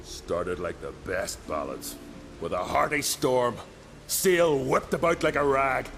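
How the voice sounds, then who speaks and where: An actor playing a loyal, hot-headed young island warrior in a video game, with an earnest, colloquial way of telling a tale. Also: male voice, medium pitch, expressive, slow, gravelly, a bit bright, slight background noise, exaggerated voice. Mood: rueful, annoyed. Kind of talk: dramatic video game voice acting.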